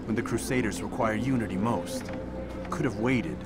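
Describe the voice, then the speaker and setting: A man plays a grave medieval assassin, low in pitch and measured. His speech is formal and stern, a game cutscene voice under loud music. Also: lightly acted. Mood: troubled, questioning. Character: grave, principled young male medieval assassin. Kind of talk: dramatic video game cutscene acting.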